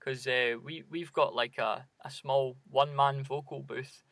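A man's voice: mid-range voice, fairly even intonation, a little slow, fairly bright tone, dry recording. A man hosting a podcast interview, chatting casually and putting questions to his guest. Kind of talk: casual chat.

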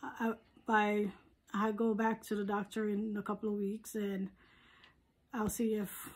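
Female vlogger, medium pitch, husky and hoarse, casual rambling review chat. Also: completely flat delivery, measured pace. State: hoarse, recovering from surgery. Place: living room at home.

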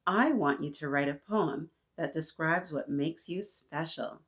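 A woman's voice: deep voice, a little slow, a bit bright. A woman voicing a kind, welcoming female school counselor in a storybook, with an encouraging, teacherly manner.

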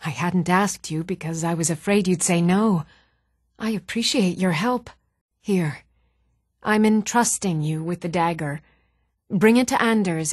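An actress playing an earnest, even-toned woman in a fantasy video game. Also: on the low side, dry recording, clean studio sound. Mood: solemn, sincere. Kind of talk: video game character dialogue.

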